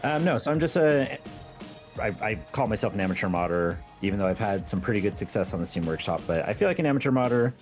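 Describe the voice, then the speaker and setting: A man with a somewhat low voice chats as a guest on a stream. He is informal and self-deprecating, with a wry sense of humour. Soft music runs underneath. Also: animated, fast. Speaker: guest, amateur game modder. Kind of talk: casual interview chat.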